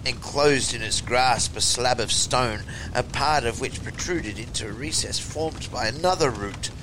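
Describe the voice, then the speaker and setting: A man giving a theatrical, deliberate and emphatic reading of a horror story as its narrator. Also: mid-range voice, background noise.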